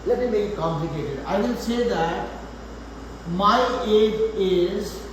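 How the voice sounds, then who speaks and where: Echoey, high-voiced man teaching math. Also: expressive, slow. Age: 40s. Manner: patient, repeats points, asks students questions. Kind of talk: live online class. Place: classroom. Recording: echoey room, faint background noise.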